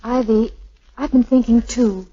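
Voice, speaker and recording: Anxious high-voiced 1940s young bride-to-be. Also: female voice, steady tone, a little slow, dark tone, husky. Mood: worried, pleading. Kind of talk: 1940s radio drama acting. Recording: muffled old 1940s radio recording.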